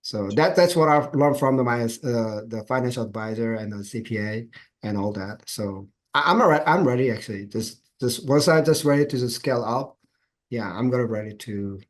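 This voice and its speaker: A middle-aged man talking as an interview guest, halting with frequent restarts and laughs. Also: mid-range voice. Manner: halting phrasing with many restarts, laughs often. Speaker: guest sharing his business plans. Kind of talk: casual interview conversation.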